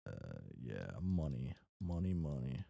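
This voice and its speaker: A man's voice: a bass voice, sing-song, measured pace, vocal fry, mellow, dry recording. A man casually chatting and reading aloud in an offhand way, sometimes stumbling over words as the host of a read-along stream. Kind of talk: casual chat while drawing and reading a story aloud.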